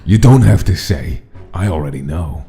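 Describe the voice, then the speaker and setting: A man voicing a menacing skeleton mobster in a comic dub, rough-voiced and mockingly flirtatious, with a taunting drawl. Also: sits low, sing-song, normal speed, warm tone, full character voice. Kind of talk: dramatic comic dub acting. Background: music.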